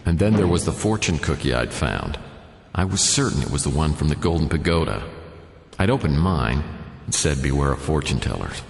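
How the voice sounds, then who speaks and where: An actor playing a wry, cocky private detective, narrating a noir radio drama in a deadpan, self-assured way. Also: male voice, a bass voice, vocal fry, exaggerated voice. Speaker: narrator and lead character. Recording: reverberant studio sound with music underneath.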